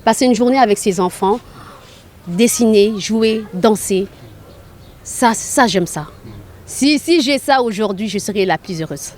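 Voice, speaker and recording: A woman's voice: mid-range voice, a little slow, darkish tone. A woman from Arabia speaking spontaneously in an interview as a charity volunteer, earnest and repeating phrases for emphasis. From grew up in Arabia.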